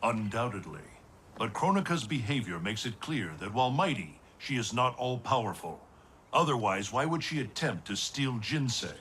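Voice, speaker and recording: An actor playing a wise, solemn thunder god who speaks in a measured, formal way in a video game cutscene. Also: male voice, mid-range voice, very animated, a bit bright, slight background noise. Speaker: mentor.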